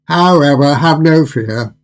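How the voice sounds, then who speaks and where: An older man playing a suave, controlling restaurant owner in a radio drama, with formal, theatrical politeness and a husky voice. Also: on the high side, a little slow. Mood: smooth, courtly.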